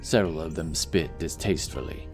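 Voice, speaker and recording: A man's voice: extremely low pitch, brisk, darkish tone. A man reading scripted fantasy narration as a dramatic audiobook narrator. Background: music.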